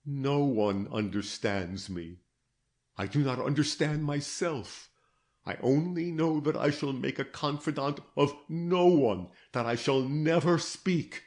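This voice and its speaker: A tormented young woman in a storybook mystery, her voice pitched high and cracking with dread, as a male reader puts her on. She pleads and cries out in breathless bursts, loud and shaken, drawn out slowly. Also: natural voice. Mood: despairing. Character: distraught young woman, frantic and ashamed.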